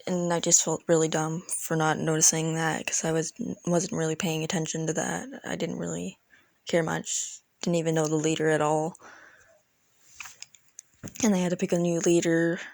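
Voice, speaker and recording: A woman's voice: sits low, flat delivery, normal speed, vocal fry, darkish tone, no echo. A woman telling a casual, rambling storytime in informal, youthful slang. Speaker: storytelling video creator. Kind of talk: casual storytime about an online roleplay game.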